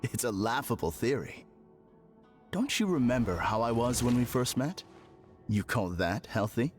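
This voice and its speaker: Smug, condescending game character, male actor, theatrical delivery with animated pitch, music underneath. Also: a little slow, natural voice. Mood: smug disdain. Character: smug, deluded male patient insisting he is perfect. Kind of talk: video game voice acting.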